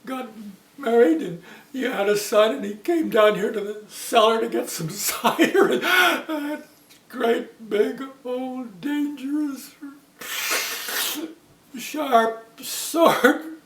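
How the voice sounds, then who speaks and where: A woman telling a folk tale, voicing a foolish father who frets over imagined harm in drawn-out, rising lines, recorded in an echoing room. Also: on the high side, lively intonation, a bit bright, pitched above the performer's own voice. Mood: dramatic dread. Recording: roomy, distant mic, faint hum.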